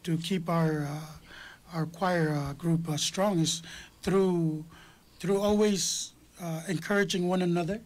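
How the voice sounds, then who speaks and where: A man who sings in a church choir, talking as a radio guest in a small studio, loud, earnest and rambling. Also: fairly high pitch, fairly even intonation, takes it slowly. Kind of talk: casual radio chat. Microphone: table mic.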